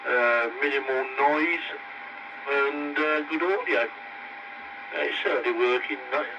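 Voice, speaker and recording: A man's voice: mid-range voice, measured pace, music in the background. A man speaking as an amateur radio operator, giving reports over an AM radio link. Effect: heard through AM radio. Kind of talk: radio contact, signal report. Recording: muffled, very noisy distant radio reception.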